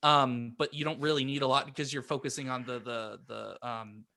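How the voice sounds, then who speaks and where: A man's voice: mid-range voice, fairly even intonation, normal speed, creaky, sharp tone. A man chatting casually as a podcast co-host, rambling and hesitant as he circles back on what he says. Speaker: pizza shop worker. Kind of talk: casual group chat.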